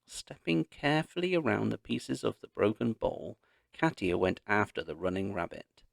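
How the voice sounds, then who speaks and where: Gravelly low-voiced man reading a story. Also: very animated, moderate pace, dry recording. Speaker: audiobook narrator. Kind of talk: expressive children's story read-aloud.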